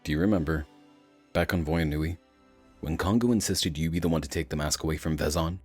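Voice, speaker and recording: An earnest cartoon hero, voiced by a man with an extremely low voice. He speaks steadily and sincerely to encourage a teammate, with soft music behind him. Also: a little fast, breathy edge. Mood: earnest, reassuring. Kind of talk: fan-dub animated adventure dialogue.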